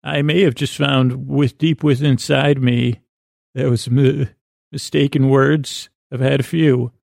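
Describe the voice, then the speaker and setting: A man with a creaky voice hosting a sleep podcast, speaking in a lulling, meandering, halting monologue that circles back on itself. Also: medium pitch, flat delivery, darkish tone, dry recording, studio quality. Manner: lulling, soothing tones. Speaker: podcast host. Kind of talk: meandering bedtime monologue to help listeners sleep.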